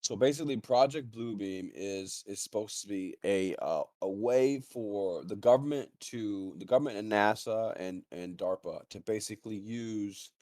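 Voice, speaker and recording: Low-voiced man rambling in casual chat. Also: normal speed, few pauses, darkish tone, no room sound, studio quality. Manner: rambling, frequently swearing. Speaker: livestream co-host.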